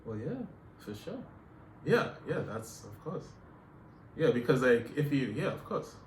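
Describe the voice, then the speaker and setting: A man's voice: medium pitch, expressive, unhurried, a little hiss or hum. Canadian man musing in a roomy space. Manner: reflective, repeats himself, many fillers. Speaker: podcast co-host. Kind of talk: casual chat.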